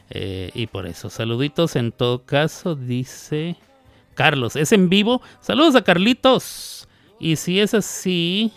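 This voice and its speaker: A mid-pitched man with a northern Mexican accent chats loosely through a live radio show, joking and laughing as he talks. Faint music plays behind his voice. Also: smooth, no room sound. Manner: chatty, laughs often, playful asides. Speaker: radio host. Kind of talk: casual live radio chat.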